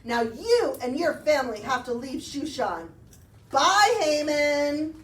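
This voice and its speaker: A woman puts on a bossy, none-too-bright puppet king, blunt and emphatic. The voice is high for a king and swings widely, echoing in a room at some distance. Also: big pitch swings, slow pace, heavily acted. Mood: indignant. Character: dim, bossy, party-loving male king. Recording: roomy, distant mic, faint noise.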